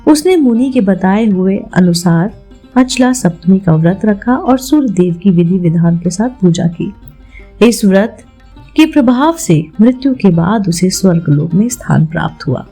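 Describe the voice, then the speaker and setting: A woman's voice: medium pitch, animated, a little slow, mellow, breathy edge, soft background music. A woman hosting a devotional explainer, telling religious tales and instructing listeners as friends. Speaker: host of a devotional channel. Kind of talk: devotional explainer narration with storytelling.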